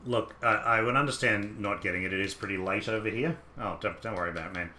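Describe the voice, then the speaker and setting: An Australian man with a low, deep voice and a bright, forceful edge, chatting in an easygoing, rambling way as he plays through a game on a live stream. His voice rings in a roomy space with a faint hiss underneath. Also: quick pace. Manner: rambling, easygoing, talks to himself about the game. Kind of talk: casual gameplay commentary chatting with live viewers.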